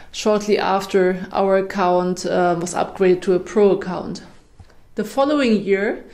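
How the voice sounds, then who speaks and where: A middle-aged woman with a German accent, a native German speaker, giving a measured, clearly structured webinar presentation as a nonprofit consultant. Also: medium pitch, a little mellow.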